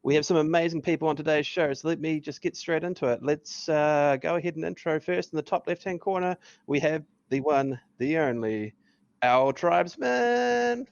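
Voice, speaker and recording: A man hosting a podcast in an excitable, hyped-up way, drawing words out as he makes casual introductions. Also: on the high side, normal speed, creaky, dry recording, highs cut off. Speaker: podcast host.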